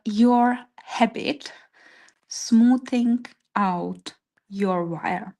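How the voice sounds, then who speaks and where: A woman with a Czech accent leading an informal live craft tutorial step by step, hesitantly searching for her English words. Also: fairly high pitch. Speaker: craft workshop instructor.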